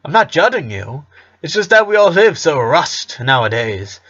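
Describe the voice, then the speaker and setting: A man's voice: fairly high pitch, sing-song, moderate pace, fairly bright tone, muffled recording, full character voice. A man reading the part of an earnest, sentimental middle-aged father in a story, explaining things in a heartfelt way. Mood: gentle.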